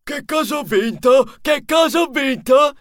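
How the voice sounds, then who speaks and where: A boastful, excitable rodent show-off for an audiobook. The voice is extremely high and theatrical, with drawn-out, slow phrasing. It sounds close and dry, proud of itself in every word. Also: male voice, no room sound. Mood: eager. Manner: exclamatory, theatrical delivery. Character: boastful, excitable male rodent cousin, showy and self-congratulating. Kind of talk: audiobook character acting.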